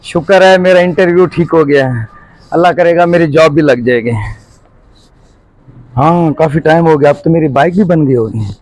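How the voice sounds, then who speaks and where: A man's voice: on the high side, moderate pace, long pauses, a little mellow, close to an everyday voice. A man playing a polite, anxious job seeker with a broken motorbike in an acted moral-story skit.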